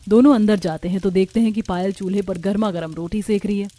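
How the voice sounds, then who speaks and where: A woman's voice: mid-range voice, fairly even intonation, fast talker, warm tone. A woman giving scripted narration of a simple cartoon family story.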